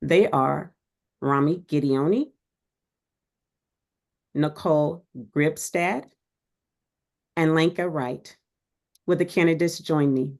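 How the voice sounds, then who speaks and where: A woman moderating a candidate forum, reading the rules and introductions in a measured, formal, scripted way. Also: sits low, darkish tone.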